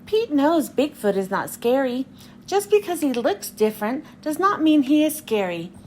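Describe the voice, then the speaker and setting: A woman hosting a children's read-aloud, telling a picture-book story in an expressive, deliberate way. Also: high voice, a little hiss or hum.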